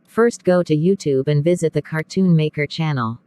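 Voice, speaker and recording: A narrator reading a scripted step-by-step software tutorial. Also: mid-range voice, normal speed, dry recording.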